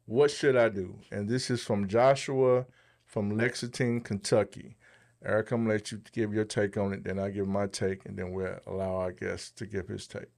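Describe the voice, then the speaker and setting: A man's voice: lowish voice, fairly even intonation, normal speed, vocal fry, darkish tone. A man hosting a podcast, talking conversationally and giving advice. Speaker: podcast host.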